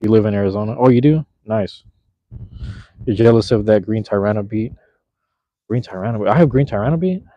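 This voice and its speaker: A man's voice: on the low side, normal speed, long pauses, dark tone, slight background noise. Laid-back slangy man on a phone livestream. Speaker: livestream host. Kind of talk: casual live chat with viewers. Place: outdoors at night in a park, cold drizzle. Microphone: handheld phone. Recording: slightly dull lo-fi phone audio.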